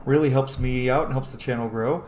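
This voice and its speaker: A man's voice: medium pitch, fairly even intonation, fairly quick, a little mellow, echoey room, slight background noise. A man, a young adult, hosting a gaming video with casual, sweary, emphatic commentary. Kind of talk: casual let's-play commentary.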